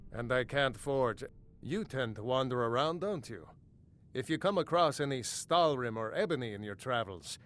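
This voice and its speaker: An actor playing a weary, practical villager of a Nordic mead hall in a video game. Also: male voice, mid-range voice, a bit bright, close to an everyday voice. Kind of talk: video game character dialogue. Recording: faint music behind the voice.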